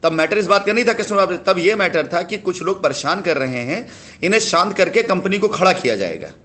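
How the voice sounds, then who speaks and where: A man's voice: sits high, animated, fairly quick, fairly bright tone. A man speaking as a company head on a phone call, explaining himself insistently and repeating his phrases. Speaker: company head answering a customer. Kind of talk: phone conversation, persuasive explaining.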